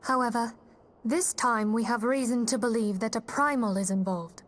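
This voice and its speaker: An actress plays a fantasy game's leader of a secret order, a woman with a fairly high voice. She speaks formally and courteously, in measured phrases, with composed authority. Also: lightly acted. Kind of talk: video game cutscene acting.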